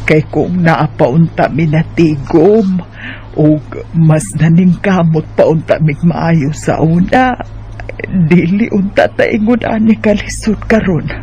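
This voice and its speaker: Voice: medium pitch, slow, mellow, highs cut off, slight background noise. An actor playing an apologetic, regretful elderly grandparent in a radio drama.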